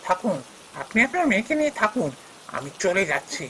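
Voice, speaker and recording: Man playing a proud, touchy, animated son-in-law. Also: high-pitched, brisk, slight background noise, exaggerated voice, rough recording. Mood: indignant, offended. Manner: dramatic, emphatic. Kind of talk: Bengali fairy-tale cartoon dialogue.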